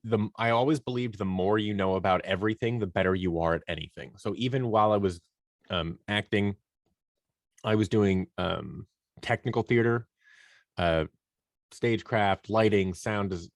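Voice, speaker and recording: A man in his thirties with a low-pitched voice talks casually as an interview guest. He rambles a little, doubles back on his words and plays himself down. The recording is dry and free of room sound. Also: dry recording. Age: 30s. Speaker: podcast guest, audio drama producer and performer. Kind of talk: casual conversational interview answers.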